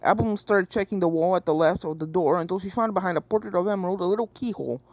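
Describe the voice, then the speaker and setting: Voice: medium pitch, quick pace, a little mellow, dry recording. A reader giving scripted narration of a fan fiction story. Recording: game music behind the voice.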